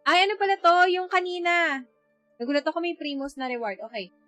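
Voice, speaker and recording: A woman's voice: extremely high pitch, lively intonation, fast talker, fairly bright tone, soft background music. A woman with a Filipino accent chatting casually as she livestreams a game, easygoing and thinking aloud, switching between languages. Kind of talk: casual livestream chat while playing a game.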